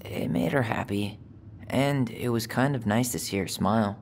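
A man's voice: fairly low pitch, moderate pace, vocal fry, slight background noise. Youthful, easygoing American young man. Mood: wistful. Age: young adult. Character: easygoing, sensitive young man, a reminiscing twin brother. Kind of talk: naturalistic video game dialogue.

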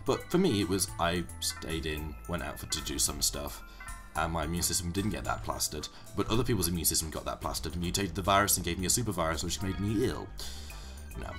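Young man, hoarse and low, casual let's play commentator who rambles and corrects himself. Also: fairly quick, music in the background. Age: young adult. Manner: rambling, self-correcting explanations. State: hoarse from illness.

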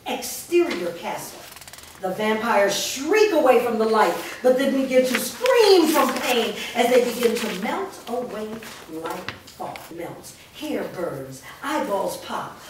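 A woman's voice: fairly high pitch, background noise. Echoey woman reading stage directions. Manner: steady, clear narration. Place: large studio room with actors in a circle. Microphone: far camera. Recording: roomy echo from far off.

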